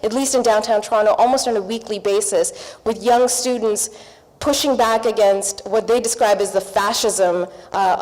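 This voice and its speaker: A woman's voice: fairly high pitch, darkish tone. A Pakistan-born woman, English not her first language, speaking earnestly at a seminar panel and listing her points in repeated phrases. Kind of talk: panel discussion remarks. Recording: reverberant hall.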